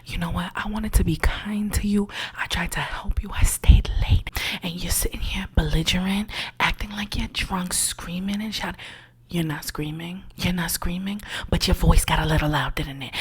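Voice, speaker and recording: A woman's voice: lowish voice, moderate pace, slightly rough. A woman playing a condescending female insurance claims agent in an ASMR roleplay, whispering in a patronizing way and calling the listener sweetie and honey. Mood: sassy.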